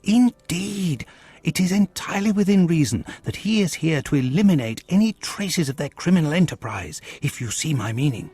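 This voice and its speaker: An actor with a British accent playing an earnest, courteous gentleman physician in a video game, speaking formally. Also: a little high, animated, moderate pace, breathy edge, no room sound, soft background music. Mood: urgent, earnest.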